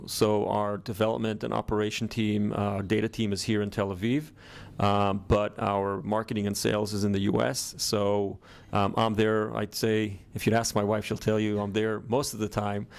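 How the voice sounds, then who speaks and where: Gravelly low-voiced American-accented founder. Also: male voice, monotone. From born in Israel, grew up in the US. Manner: measured, many ums and uhs. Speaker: startup founder. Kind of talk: business interview answers.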